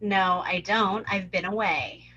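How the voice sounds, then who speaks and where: A woman's voice: medium pitch, steady tone, measured pace, sharp tone, slightly rough, lo-fi sound. A woman playing a brash, foul-mouthed, provocative young psychiatric patient in a live script table read. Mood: cool, needling.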